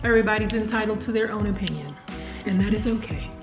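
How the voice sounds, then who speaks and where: A woman's voice: mid-range voice, fast. A woman in her late thirties chatting casually and with opinions while eating, as a guest on a food video. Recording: echoey room, music behind. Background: light background music.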